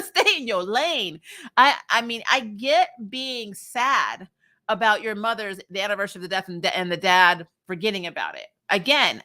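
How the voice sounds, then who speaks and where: Bright-voiced woman, casual opinionated reviewer. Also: medium pitch. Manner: animated, slangy and sweary, breaking into laughter; acts out dialogue in mocking quotes. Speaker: host of a TV recap show.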